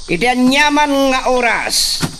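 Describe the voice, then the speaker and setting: Man acting as slow, high-voiced cruel young master. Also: lively intonation, noisy background. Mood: menacing. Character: cruel, domineering young male hacienda master. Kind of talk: Ilocano radio drama acting.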